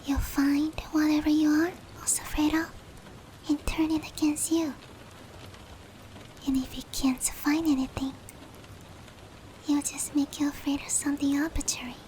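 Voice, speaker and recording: A woman voicing a gentle, caring female demon in an intimate roleplay ASMR, whispering softly and breathily to comfort a human. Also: high-pitched, poor audio. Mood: soothing, reassuring. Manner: slow, tender, reassuring. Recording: steady rain noise behind. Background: rain.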